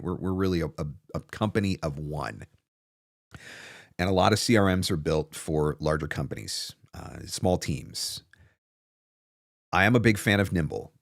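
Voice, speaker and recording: A man's voice: low-pitched, no room sound. A man in his forties giving casual, conversational advice as a livestream host, explaining things with emphatic repetition into a close studio microphone. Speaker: livestream host, voice-over coach. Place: small home studio room, indoors. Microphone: close desktop broadcast microphone.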